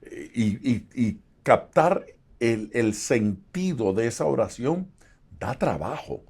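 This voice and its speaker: A man's voice: medium pitch, very animated, takes it slowly, darkish tone, breathy edge. A man hosting an interview, asking questions hesitantly with many fillers and joking about himself. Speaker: host, pastor. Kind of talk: casual interview.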